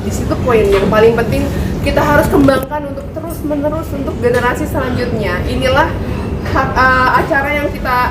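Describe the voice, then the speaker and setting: A woman's voice: high voice, fairly quick. A woman leading a women's community, speaking to the press at an event in a chatty, playful, speechmaking way. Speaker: arisan community leader. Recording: echoey, distant, loud crowd noise.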